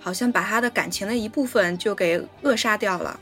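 A woman's voice: mid-range voice, brisk, a bit bright, soft background music. A woman co-hosting a casual film discussion, giving her impressions in conversation. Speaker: podcast host.

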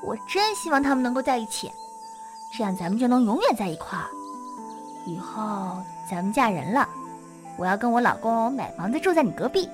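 A woman acting an affectionate, eager schoolgirl in a modern audio drama, with an expressive, earnest, girlish delivery. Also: a little high, fairly quick, over music. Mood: eager, hopeful. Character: affectionate, eager schoolgirl, devoted to her best friend.

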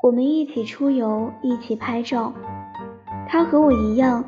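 A woman playing a young, romantic, dreamy student in a radio drama, telling her love story in a soft, sentimental, unhurried way. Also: on the high side, natural voice. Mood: tender, wistful. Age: young adult. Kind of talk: radio drama narration and dialogue. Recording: dull lo-fi recording with piano music. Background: piano music.

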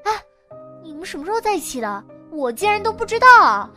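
Casting: woman as childlike, whiny, theatrical cafe girl with a tiny, very high voice, for radio play. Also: sing-song, fairly quick, sharp tone, soft background music, heavily acted. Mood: mock heartbroken. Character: childlike, melodramatic, clingy young cafe girl. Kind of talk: Chinese audio drama acting.

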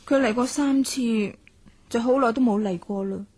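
A woman playing a lonely, confined young writer in a radio drama, in a soft voice. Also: a little high, moderate pace, breathy edge. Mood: subdued. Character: lonely confined young writer, rich man's neglected daughter. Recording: music under the voice.

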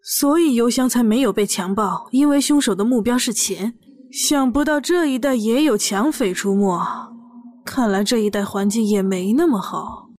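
A woman's voice: fairly high pitch, normal speed, darkish tone, dry recording, studio quality, close to an everyday voice. An actress playing a suspicious, opinionated woman in an audio drama, speaking in a knowing, assertive way. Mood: knowing, wary.

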